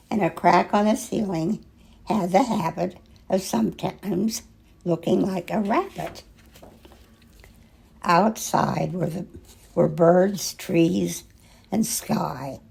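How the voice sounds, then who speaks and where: An older woman reading a children's picture book aloud in an expressive, gentle way, pausing dramatically between short phrases, with a husky, gravelly voice. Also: on the low side. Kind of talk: children's storybook read-aloud, slow and expressive.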